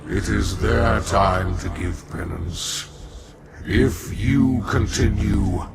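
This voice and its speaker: Gravelly, forbidding otherworldly herald. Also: male voice, low-pitched, heavily acted. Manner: slow, portentous, forbidding. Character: grave, menacing male otherworldly herald warning of divine wrath. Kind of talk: dramatic video game cutscene acting. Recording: loud music over the voice.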